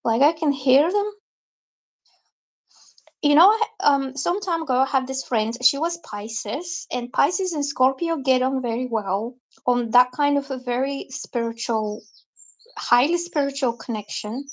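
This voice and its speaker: A woman's voice: high voice, measured pace, low-quality recording. A woman from Bulgaria, giving a chatty, rambling live-stream tarot reading. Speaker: tarot reader.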